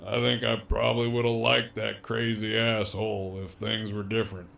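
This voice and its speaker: A man's voice: fairly low pitch, flat delivery, normal speed, vocal fry, a bit bright. A man playing a cocky, menacing, wryly amused imprisoned gang leader in an audio drama, with a swaggering, foul-mouthed drawl. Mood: wry, reflective.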